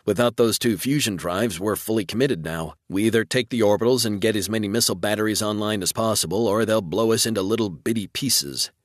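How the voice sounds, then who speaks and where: A man's voice: on the low side, fairly quick, hardly any pauses, no echo, lightly acted. A man reading as a grim male warship officer in a sci-fi audiobook. He gives blunt tactical warnings in a dry, close sound. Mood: grim, urgent.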